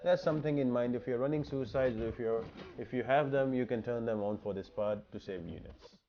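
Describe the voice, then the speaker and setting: A man's voice: medium pitch, brisk, dull audio, slight background noise. A man giving informal walkthrough commentary for a mobile game, explaining things step by step in an instructional way. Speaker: gaming guide video host.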